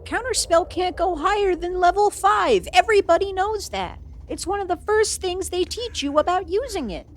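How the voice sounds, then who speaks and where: A woman's voice: very high voice, lively intonation, normal speed, few pauses, a little hiss or hum. A woman playing a proud, youthful immortal villain sorceress in a fantasy audio roleplay, theatrical, haughty and easily put on the defensive. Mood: insistent.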